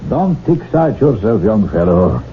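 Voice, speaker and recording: Sinister male villain, low and deliberate, coolly condescending, on a muffled, noisy vintage radio broadcast. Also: sing-song. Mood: cool menace. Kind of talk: 1970s radio drama acting.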